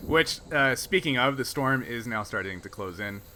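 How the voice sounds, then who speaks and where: A man acts as game master in a casual tabletop session. His voice is mid-pitched and bright with a forceful edge. A faint noise sits behind him. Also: expressive, moderate pace, poor audio. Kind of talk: casual tabletop game narration and rules explanation.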